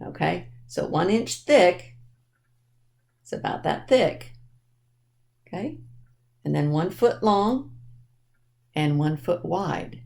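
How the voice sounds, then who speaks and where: A woman presenting a children's storytime lesson in simple words, spelling things out deliberately and checking understanding with questions. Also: fairly low pitch, creaky, a little mellow.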